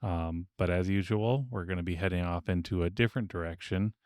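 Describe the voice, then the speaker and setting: Low-pitched man co-hosting a podcast. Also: fairly quick, darkish tone, no room sound, studio quality. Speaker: podcast co-host. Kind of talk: casual podcast discussion.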